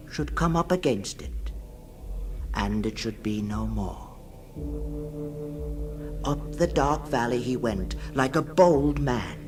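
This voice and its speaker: A man reading a fantasy tale as scripted dramatic narration, solemn and portentous, with deliberate pauses. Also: medium pitch. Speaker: story reader.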